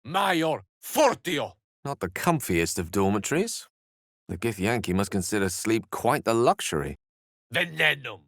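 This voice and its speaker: An actor playing an eloquent, courteous and wry wizard in a fantasy video game, with a British accent and articulate, theatrical phrasing. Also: male voice, medium pitch, big pitch swings, a little slow, vocal fry, fairly bright tone, no room sound, clean studio sound, heavily acted. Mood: commanding shout. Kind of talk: dramatic fantasy game dialogue.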